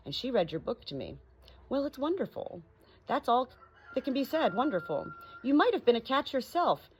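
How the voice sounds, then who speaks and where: Woman as a folksy, animated meat-selling man. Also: a little high, slightly rough, pitched above the performer's own voice, rough recording. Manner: colloquial, persuasive. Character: folksy, uneducated, scheming male pet-meat seller.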